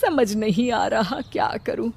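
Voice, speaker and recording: A woman's voice: a little high, expressive, a little slow, creaky, a little mellow, slight background noise. A woman voicing an anxious, caring older widowed mother-in-law in a village cartoon story. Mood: resigned, worried. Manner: worried, maternal.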